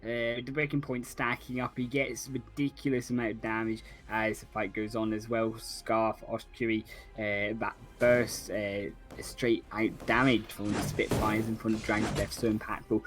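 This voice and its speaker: A man giving analytical esports color commentary, often pausing on fillers. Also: fairly low pitch, little pitch movement, a bit bright, faint music behind, rough recording. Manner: analytical, halting with many fillers. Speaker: esports caster.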